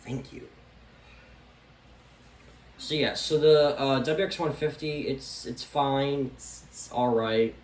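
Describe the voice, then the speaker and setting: Echoey, offhand man vlogging casually. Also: mid-range voice. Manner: halting, offhand. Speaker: vlogger showing a radio collection. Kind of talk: casual unscripted product show-and-tell. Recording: echoey room, background noise.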